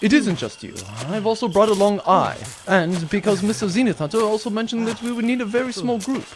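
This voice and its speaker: A man's voice: high voice, a little fast, crowd noise, natural voice. A man playing a cocky, flirtatious, oblivious space captain, bombastic and self-assured, in a comic sci-fi audio drama. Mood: bossy, confident.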